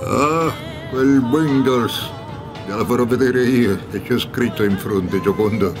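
A scheming, slow-muttering businessman. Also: medium pitch, over music. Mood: resentful. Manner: muttering, self-satisfied, chuckling. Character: scheming, gloating businessman driving alone, muttering to himself. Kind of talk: radio drama acting.

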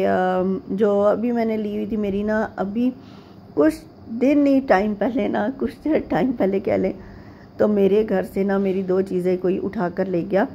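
Chatty middle-aged woman, gravelly mellow voice. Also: medium pitch, lo-fi sound, a little hiss or hum. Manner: rambling, with frequent pauses and fillers; homely, chatty tone. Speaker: vlogger. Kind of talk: casual chatty voice-over narration.

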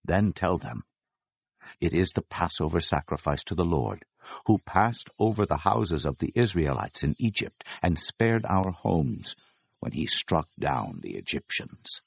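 A stern prophet leader in an audio bible, husky and gravelly, urgent, swings up and down. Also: male voice, deep voice, very animated, measured pace, dry recording, full character voice. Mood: urgent, solemn. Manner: urgent, declaiming instructions. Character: Moses, stern male prophet and leader of his people. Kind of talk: dramatized scripture acting.

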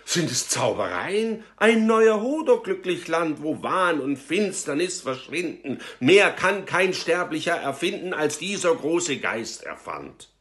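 A man voicing an excitable crowd of townsfolk in a storybook reading, exclaiming in pompous awe. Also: a little high, unhurried, hardly any pauses, pitched above the performer's own voice. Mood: awestruck. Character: excitable crowd of townsfolk marvelling at new fashions.

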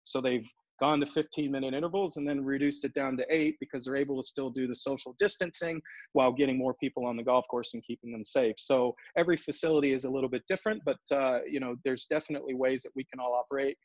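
A man in his forties, a golf operations executive, answering interview questions over a video call in a steady, businesslike way with frequent fillers and restarts. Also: mid-range voice, fast, no room sound. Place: home office in front of a brick wall. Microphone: earbuds on a video call. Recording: dull, dry video call audio.

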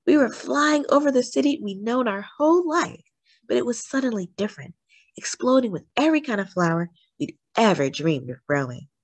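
Very animated woman reading a storybook. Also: a little high, fairly quick, no room sound. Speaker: story reader, host of a read-aloud channel. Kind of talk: expressive children's picture book read-aloud.

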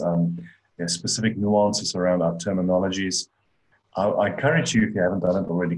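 A man's voice: low-pitched, darkish tone, low-quality recording. A middle-aged man with a South African accent, giving an online talk with slides and speaking conversationally, with many restarts and fillers. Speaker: conference speaker on AI. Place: indoor room, on a webcam.

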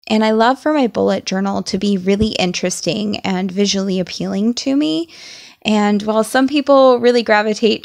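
A young woman narrating a journaling tutorial in a chatty, explaining way, her voice a little raspy as she is losing it. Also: medium pitch. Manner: chatty, enthusiastic, explains each step. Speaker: journaling vlogger. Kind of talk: voice-over craft tutorial.

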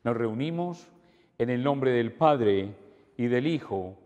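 An older priest leads Mass in a calm, measured voice of middle pitch. His prayers carry a long reverb through the church. The delivery is steady and liturgical. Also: dull audio. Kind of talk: celebrating Mass. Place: church altar, indoors.

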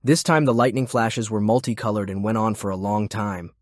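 A man's voice: fairly low pitch, fairly quick, no echo, clean studio sound. A man reading scripted narration as an audiobook narrator.

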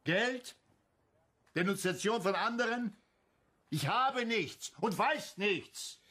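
An actor playing an anxious, evasive older suspect in a radio crime drama, defensive and agitated. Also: male voice, high voice, lively intonation, slow pace, pushed and bright, full character voice.

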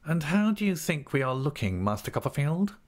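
A man's voice: a little high, sing-song, brisk, darkish tone, voice pushed up. A man voicing a falsely humble, scheming clerk in an audiobook, meek, oily and obsequious. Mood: fawning. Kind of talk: audiobook character acting.

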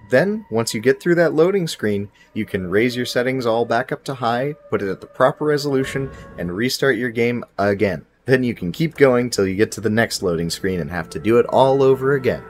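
A man's voice: medium pitch, expressive, normal speed, hardly any pauses. A man in his thirties giving a scripted video game review in a wry, casual, emphatic way. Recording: music behind the voice. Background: music.

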